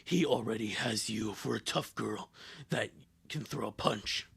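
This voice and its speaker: Man voicing a gravelly, gloomy goth little sister. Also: lowish voice, a little slow, a bit bright, pitched below the performer's own voice, exaggerated voice. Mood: gravely consoling. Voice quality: gravelly, husky. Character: gloomy, deadpan young goth sister consoling her sibling.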